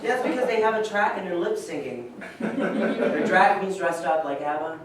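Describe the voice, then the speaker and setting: A man's voice: high-pitched, animated, normal speed. An earnest, insistent young man, played by an actor in a staged play reading. He speaks plainly, heard from a distance in a very reverberant, noisy room. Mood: patiently exasperated.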